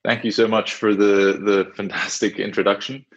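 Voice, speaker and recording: A man with a Swiss-British accent and a low voice, talking as a podcast guest. He speaks thoughtfully, with plenty of fillers and hedges. His voice comes through a dull, muffled remote line. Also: fairly even intonation, moderate pace. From half Swiss, half British. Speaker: guest, engineering director. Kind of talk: reflective interview talk.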